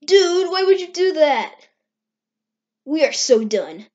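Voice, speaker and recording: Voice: high-pitched, big pitch swings, takes it slowly, darkish tone, heavily acted. A performer voicing a cocky, teasing young male hedgehog with slangy talk in an amateur cartoon comic dub. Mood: annoyed. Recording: dull, lo-fi screen-recorded sound.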